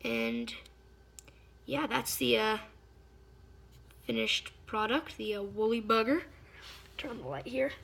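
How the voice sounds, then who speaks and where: A slightly hoarse woman giving a casual hands-on fly-tying tutorial, chatty and often stopping to search for a word. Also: a little high, slow, pauses often, fairly bright tone. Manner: chatty, stops to search for words.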